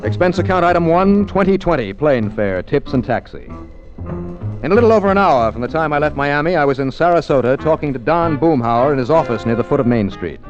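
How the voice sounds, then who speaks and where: An actor as a wisecracking insurance investigator. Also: male voice, on the high side, lively intonation, fairly quick, music in the background, natural voice. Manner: wry, bantering, reluctant. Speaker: narrator and lead. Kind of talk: 1950s radio drama acting. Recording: dull old radio broadcast.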